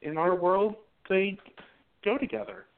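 A man's voice: high-pitched, unhurried, creaky. A man playing a devoted young newspaperman in a 1920s radio romance, speaking in an emphatic, romantic way. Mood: ardent. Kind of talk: radio play acting. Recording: tinny phone-like line.

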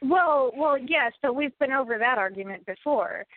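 A thin, phone-like recording carries a high-pitched American woman's voice in casual, opinionated chat. She is emphatic and repeats phrases to drive points home. The sound is dry, with little room around it. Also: expressive, moderate pace, dry recording. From United States.

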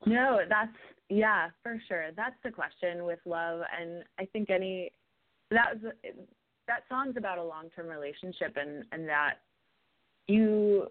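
A woman's voice: lowish voice, measured pace. A woman singer-songwriter from New York City, giving thoughtful, rambling answers in a radio interview. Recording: tinny phone-like line.

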